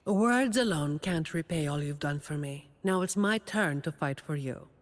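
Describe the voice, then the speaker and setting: A woman's voice: sits low, lively intonation, moderate pace, in a large hall. A woman voicing a dutiful, weary young woman guardian in a video game, dry and composed with a confident edge. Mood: solemn, resolute. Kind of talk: video game character voice lines.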